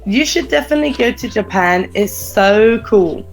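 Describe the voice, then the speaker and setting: A young Englishwoman, a teacher asking interview questions in a slow, patient, encouraging way. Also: medium pitch, expressive, faint music behind, low-quality recording. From England. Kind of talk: casual conversational interview over a call.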